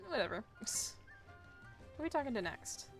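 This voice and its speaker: A woman's voice: high-pitched, wildly swooping pitch, unhurried. A woman game streamer giving casual, chatty gameplay commentary with informal, rambling asides. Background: video game music.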